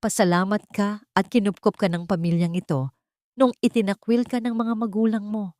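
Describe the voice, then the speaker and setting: A woman's voice: mid-range voice, steady tone, normal speed, darkish tone, dry recording, clean studio sound, voice pushed up, close to an everyday voice. A woman voicing a scornful, domineering elderly mother-in-law in an audio drama, scolding with emphatic contempt.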